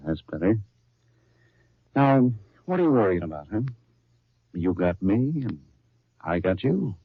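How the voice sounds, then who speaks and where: Plain-spoken working-guy fiancé, casual slang, low pitch, reassuring, 1930s soap acting, muffled radio recording. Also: breathy edge, no room sound, heavily acted.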